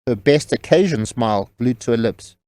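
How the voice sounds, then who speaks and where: A man's voice: mid-range voice, animated, normal speed, a little mellow, no echo. A man reading scripted novel narration as the storyteller of an audio drama.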